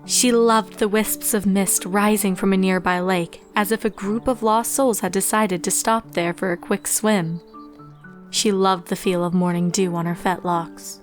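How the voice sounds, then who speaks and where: A woman narrates a story in a scripted storybook style, with a mid-to-low pitch for a female voice. Music plays behind her. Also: steady tone, measured pace. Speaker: fan fiction narrator.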